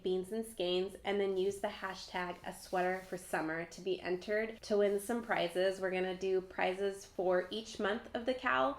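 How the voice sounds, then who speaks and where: A woman hosting a casual knitting vlog, a bit nasal and stuffy from a cold, talking in a friendly, chatty way full of "like" fillers. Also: medium pitch. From Southern California. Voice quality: slightly nasal. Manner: friendly and chatty, frequent "like" fillers and light laughs. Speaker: knitting vlogger, host.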